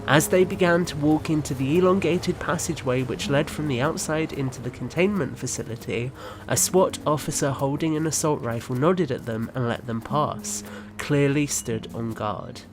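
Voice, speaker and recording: A man's voice: fairly high pitch, normal speed, over music. A man reading scripted third-person story narration for an audio drama.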